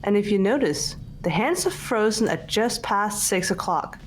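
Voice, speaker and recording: A woman's voice: mid-range voice, lively intonation, normal speed, soft background music, close to an everyday voice, low-quality recording. A woman voicing a composed, blunt teenage girl detective in an amateur visual novel dub, speaking in a controlled, matter-of-fact way. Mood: pointed.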